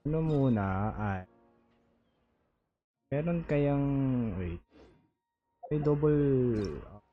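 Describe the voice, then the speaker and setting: A man's voice: medium pitch, lively intonation, very slow, lo-fi sound, soft background music. A man with a Filipino accent chatting casually on a game livestream, often repeating words as he thinks aloud. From Philippines. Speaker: game streamer. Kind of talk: casual livestream gameplay chat, mixing Tagalog and English.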